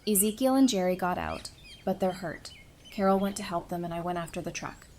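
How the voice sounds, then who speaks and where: A woman's voice: fairly low pitch, fairly quick, a little mellow, a little hiss or hum, lightly acted. An actress playing a blunt, weary woman survivor of a zombie apocalypse in an audio drama, with an exasperated, direct manner. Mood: defensive, grim.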